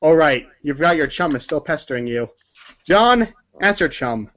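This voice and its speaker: A man's voice: fairly high pitch, expressive, moderate pace, fairly bright tone, muffled recording. A man narrating a story aloud as if from a storybook, sometimes stumbling over words and correcting himself. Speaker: narrator of a group readers' theater.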